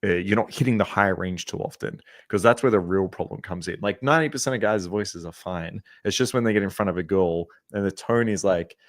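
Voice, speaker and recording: A man with a very low voice talks through dating advice in a loose, easygoing podcast chat. He pads his points with "um" and "right". The sound is dry and close, with no room around it. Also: a little fast, hardly any pauses, no echo. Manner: relaxed, many ums and rights. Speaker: dating coach.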